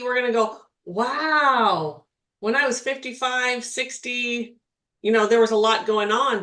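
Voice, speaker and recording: Middle-aged lady cohost, higherish voice, rambly chatty way of talking, laughs a lot. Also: sharp tone. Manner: chatty, repeats and restarts, laughs often. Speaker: podcast co-host, spiritual healer. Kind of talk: casual chat.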